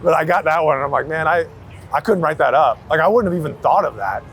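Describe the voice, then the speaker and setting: Male interviewee, creaky voice, loose slangy storyteller, recorded outside with light traffic noise. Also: on the high side, lively intonation, quick pace, a bit bright. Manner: slangy, anecdotal, hedging with "like" and "you know". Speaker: street photographer being interviewed. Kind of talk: casual chat. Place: outdoors on a busy city street. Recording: city street noise, traffic around.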